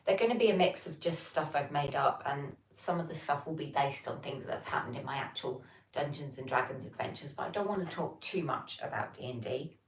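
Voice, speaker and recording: A woman's voice: low voice, a little fast. A British woman hosting a livestream, chatting casually and informally and reading out comments from viewers. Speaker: livestream host. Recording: roomy, distant mic, noticeable background noise.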